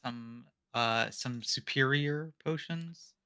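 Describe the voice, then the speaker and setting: Gravelly-voiced man, wry player. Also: medium pitch, takes it slowly, pushed and bright. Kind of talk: casual tabletop game chat.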